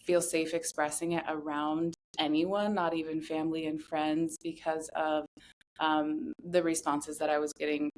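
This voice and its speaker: Low-pitched woman in her thirties, earnest. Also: little pitch movement, unhurried, slight background noise. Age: 30s. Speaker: podcast guest, somatic voice guide. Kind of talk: reflective personal interview talk.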